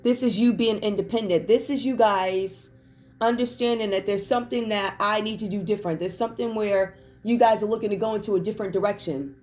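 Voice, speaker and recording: A hoarse woman doing a casual tarot reading, chatty and reflective with many fillers. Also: mid-range voice, brisk, darkish tone. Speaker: tarot reader.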